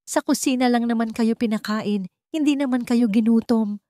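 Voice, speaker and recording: A woman's voice: on the high side, normal speed, warm tone, no room sound, studio quality, voice pushed up, natural voice. A Filipino woman voicing an arrogant, bullying husband in an audio drama story, with a scornful, blustering manner. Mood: scornful, angry.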